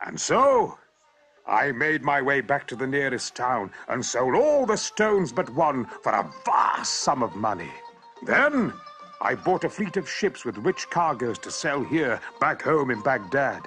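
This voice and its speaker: A middle-aged man reading a first-person adventure tale aloud, theatrical and shifting from hushed to booming. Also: very animated, measured pace, faint music behind. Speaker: storyteller.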